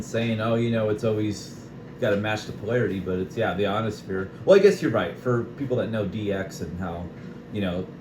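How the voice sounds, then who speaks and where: A low-pitched American man talks casually as a radio hobbyist. He explains in a chatty way and keeps checking that the listener agrees. The room is echoey, with clear background noise. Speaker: CB radio operator and video maker. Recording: noisy, echoey room recording.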